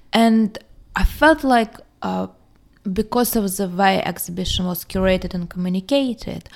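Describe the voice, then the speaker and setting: A woman's voice: medium pitch, a little mellow. A woman co-hosting a casual discussion podcast, talking through her opinions fluently with frequent "uh" fillers. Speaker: podcast co-host.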